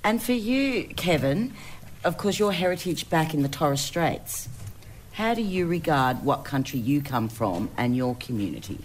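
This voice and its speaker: A woman's voice: low voice, big pitch swings, a little slow, slight background noise. A woman with an Australian accent moderating a live panel in a conversational style.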